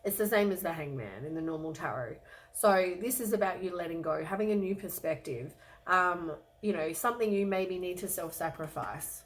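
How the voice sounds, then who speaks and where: A woman with a croaky voice from a cold, giving a relaxed, rambling tarot reading to the camera with lots of ums. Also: lowish voice, moderate pace, low-quality recording. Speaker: tarot reader.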